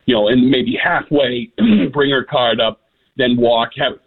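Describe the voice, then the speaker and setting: A man's voice: medium pitch, unhurried, breathy edge. A man, calling in to a radio show and telling opinionated stories in a wry way. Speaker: radio caller. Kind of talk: call-in radio chat. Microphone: phone. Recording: tinny phone line.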